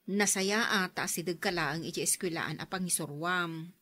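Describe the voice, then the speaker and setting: A woman playing a caring, practical elder sister and daughter in a radio drama, gently persuasive. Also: on the low side, normal speed.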